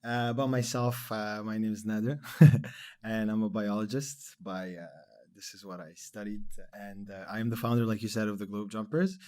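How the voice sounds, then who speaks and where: A man in his thirties from Lebanon, a podcast guest talking in a relaxed, rambling way with many fillers. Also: fairly low pitch, normal speed, smooth. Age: 30s. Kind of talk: casual interview chat.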